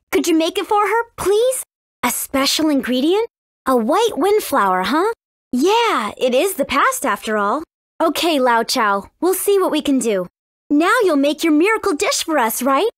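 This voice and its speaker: A woman voicing a brave, friendly teenage warrior princess in a video game, with an earnest, clearly spoken manner. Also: high voice, no room sound, studio quality. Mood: earnest, caring. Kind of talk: scripted video game character dialogue.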